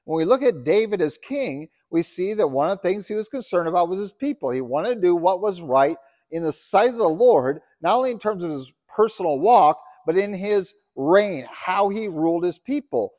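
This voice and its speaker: A man's voice: high voice, animated, normal speed, darkish tone, no echo. A middle-aged man from Uganda preaching a sermon as pastor, earnest, rising to emphatic scripture reading. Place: church.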